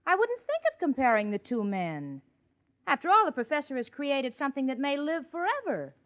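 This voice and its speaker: An actress playing a warm, sensible and wry young woman in a 1940s radio comedy, very expressive. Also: high-pitched, brisk. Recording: muffled noisy 1940s radio, studio audience.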